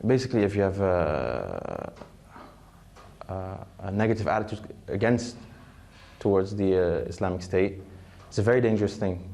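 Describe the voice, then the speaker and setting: Young dutch guy, low mellow voice, hesitant, giving interview answers. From Netherlands. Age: 20s. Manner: halting, hesitant.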